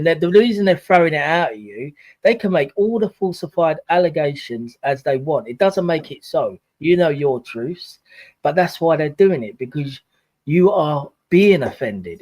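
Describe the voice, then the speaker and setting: A man's voice: fairly high pitch, a little mellow, dry recording. A man in his forties, giving life advice in a casual livestream chat, preachy and fond of sayings. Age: 40s. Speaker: guest on a livestream.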